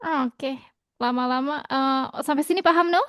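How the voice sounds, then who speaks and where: Female language teacher, higher-pitched, patient and explanatory, with a verbal "ya" tic, close and dry. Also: no echo. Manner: patient, tags nearly every phrase with a quick "ya"; switches between German and Indonesian to explain. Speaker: German teacher. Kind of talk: online language lesson.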